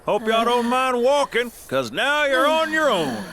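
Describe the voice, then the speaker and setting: A man's voice: extremely high pitch, huge pitch swings, measured pace, crowd noise, full character voice. A man playing a folksy, breezy pilot in a video game, drawling. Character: folksy, breezy adult male pilot dropping off passengers.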